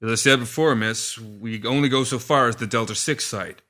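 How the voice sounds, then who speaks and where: Man playing a deferential coachman, bright and forceful. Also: medium pitch, moderate pace, lightly acted. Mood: deferential, cautionary. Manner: polite, deferential. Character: deferential male coachman, plain-spoken and cautionary.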